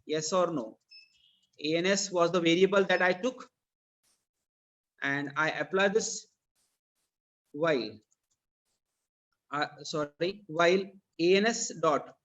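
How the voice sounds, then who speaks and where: A man's voice: a little high, slow, long pauses, fairly bright tone. A man with an Indian accent teaching programming in a live online lesson, explaining didactically and repeating phrases for emphasis. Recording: dull, lo-fi online meeting audio.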